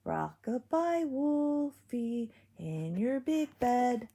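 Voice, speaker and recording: Sly, oily storybook wolf with a higher voice and gravelly edges, as a woman reads him. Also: lively intonation, moderate pace. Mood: crooning. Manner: oily and menacing, turns soft and crooning. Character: sly hungry male wolf, falsely polite.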